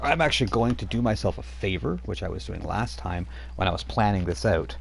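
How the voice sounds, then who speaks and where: A man's voice: fairly low pitch, expressive, fairly quick, gravelly, slight background noise. A man running a tabletop role-playing game as game master, chatty and rambling, laughing often as he talks.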